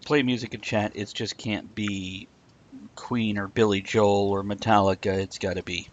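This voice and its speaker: A man's voice: on the low side, steady tone, normal speed, muffled recording. A man co-hosting a live stream show, chatting casually with sarcastic, rambling jokes. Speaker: podcast co-host. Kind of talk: casual chat.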